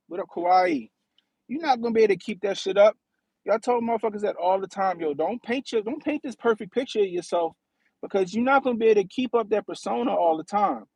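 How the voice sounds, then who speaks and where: A man's voice: sits high, darkish tone, slightly rough, no room sound. A man in his thirties hosting a casual livestream, talking in a slangy, profane way and often asking "you know what I mean". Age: 30s. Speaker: livestream host.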